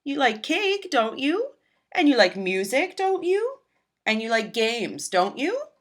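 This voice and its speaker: A woman's voice: high voice, sing-song, takes it slowly, voice pushed up, full character voice. A woman reading a picture book aloud, voicing the father, a coaxing grown man.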